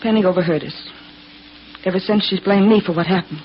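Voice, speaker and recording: A woman's voice: lowish voice, fairly quick, slight background noise, natural voice. An actress playing a bitter, wronged widowed mother with refined, dramatic speech in 1940s radio style. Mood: aggrieved, desperate. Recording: dull old radio recording, faint music.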